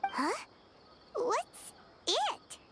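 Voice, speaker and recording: A woman voicing a tiny, curious, excitable childlike fairy companion in a video game, with a squeaky voice. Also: very slow, loud music behind the voice, heavily acted. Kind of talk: video game character acting.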